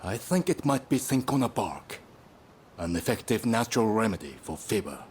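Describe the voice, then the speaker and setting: A man voicing a gruff, cautious older physician in a video game, grumbling and grave. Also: lowish voice, sing-song, moderate pace, darkish tone, slight background noise.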